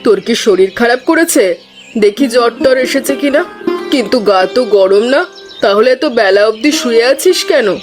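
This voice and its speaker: A woman's voice: a little high, animated, moderate pace, close to an everyday voice. A woman playing a concerned, plain-spoken village mother in a cartoon, questioning in a maternal way. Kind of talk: cartoon voice acting. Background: background music.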